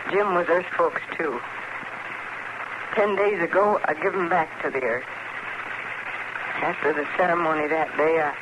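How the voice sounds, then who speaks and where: An actress playing a strong-willed, eerie frontier farm widow with a rural American accent, folksy and solemn, in a radio Western. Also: female voice, fairly low pitch, natural voice. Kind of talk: old-time radio Western acting. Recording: noisy vintage radio recording.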